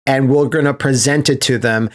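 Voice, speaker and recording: A man hosting a podcast in casual chat, telling stories in an animated, sweary way with laughter mixed in. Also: mid-range voice, moderate pace. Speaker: podcast host.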